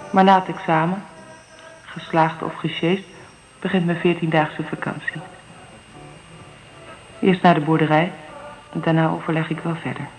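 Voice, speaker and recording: A woman's voice: sits low, lightly acted, rough recording. An actress playing an earnest, candid young divorced mother in a Dutch radio drama.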